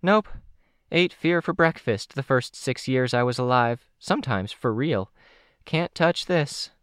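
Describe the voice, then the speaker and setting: A plucky young girl from a superhero audiobook, acted out by a man, pitched well above his usual voice. She sounds blunt, earnest and cheeky, with lively swings in tone. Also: measured pace, smooth, no echo, studio quality. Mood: cheeky, boastful.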